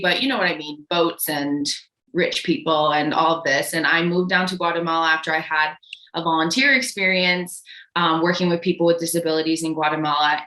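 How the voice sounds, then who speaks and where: A woman's voice: lowish voice, bright, forceful tone, echoey room, poor audio. A woman in her late twenties, from Connecticut, chatting as an interview guest with lots of fillers and "like". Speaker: guest, microdosing guide. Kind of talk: casual interview chat.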